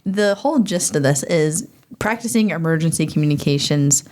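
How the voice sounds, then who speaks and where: A woman with a somewhat low voice hosts a podcast interview. Her delivery is conversational, upbeat and encouraging toward the guests. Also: expressive, normal speed, darkish tone. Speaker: podcast host.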